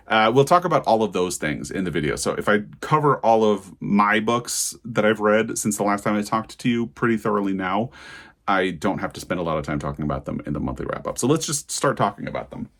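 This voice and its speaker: A man's voice: on the low side, animated, brisk, a bit bright. A man talking casually as a book vlogger, in a conversational, digressive way, often correcting himself as he goes. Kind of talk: casual chatty vlog to camera.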